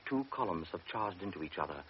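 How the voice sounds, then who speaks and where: A male narrator tells the story in a measured, dramatic way, his voice sitting fairly low. The sound is dull and muffled, like an older radio broadcast. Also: animated, a little hiss or hum.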